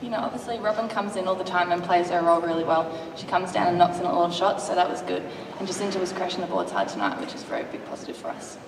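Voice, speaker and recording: A young adult woman, a college basketball player, giving quick, upbeat answers that lean on "you know, obviously.". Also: on the low side, lively intonation. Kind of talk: press conference answers. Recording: echoey hall, distant mic, room noise.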